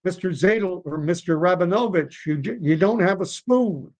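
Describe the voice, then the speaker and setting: A man's voice: high-pitched, normal speed, darkish tone, voice pushed up, natural voice. A man playing a flustered, exasperated male deli owner who tries to placate a customer in a joke. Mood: exasperated, placating.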